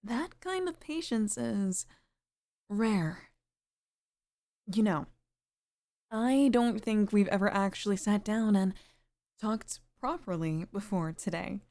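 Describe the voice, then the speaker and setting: A woman voicing a sweet, middle-aged single mother in an intimate ASMR roleplay, speaking softly and flirtatiously with sighs and pauses. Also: medium pitch, expressive. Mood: admiring, playful.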